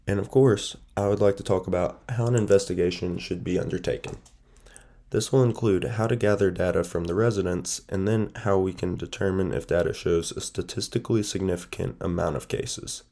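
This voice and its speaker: Young male student presenter, nasal and stuffy, low gravelly voice, formal measured read. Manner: measured, formal, steady delivery. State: stuffy from a sinus infection.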